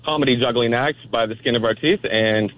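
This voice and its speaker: A man's voice: medium pitch, fairly quick. A man phoning a radio show and telling a story casually over a narrow, tinny phone line. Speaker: radio call-in guest.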